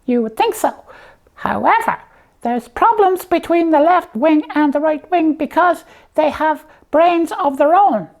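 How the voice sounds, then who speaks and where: A woman voicing a slipper puppet who hosts a comic show, explaining things simply and haltingly in a matter-of-fact way. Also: sits high, expressive, creaky, a little mellow, exaggerated voice.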